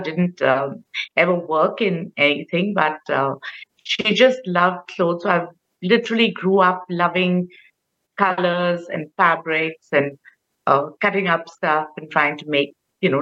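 A soft-spoken woman who works as a fashion designer talks about her work in a relaxed interview. The audio sounds somewhat muffled and dull, and her pitch sits in a middle range. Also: unhurried. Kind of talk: casual interview answers.

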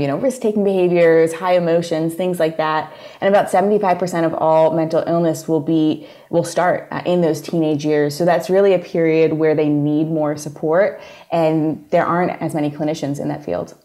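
A woman with a New York accent talks through her work in a steady, plain-spoken way. Her voice sits low and has a mellow, soft tone. She is a guest speaking in a relaxed conversation. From New York area, USA. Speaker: guest psychologist. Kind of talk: casual interview conversation.

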